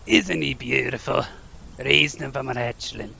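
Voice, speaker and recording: A big rustic gamekeeper, heavily gravelled and unhurried. He is folksy, protective and eager in this audio drama, with a bright, forceful edge to his voice. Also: mid-range voice, heavily acted. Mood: proud, instructing. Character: big hearty gruff gamekeeper teaching class, eager, protective.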